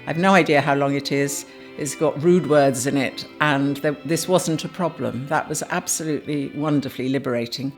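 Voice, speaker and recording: A woman's voice: very deep voice, normal speed, faint music behind. An older woman, a radio drama producer, reminiscing in an anecdotal interview style.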